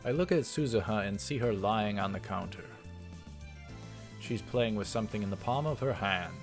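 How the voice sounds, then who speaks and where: Man narrating a visual novel over music. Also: fairly low pitch. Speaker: let's play host. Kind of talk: visual novel read-aloud with casual commentary. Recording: game music behind the voice. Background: video game soundtrack.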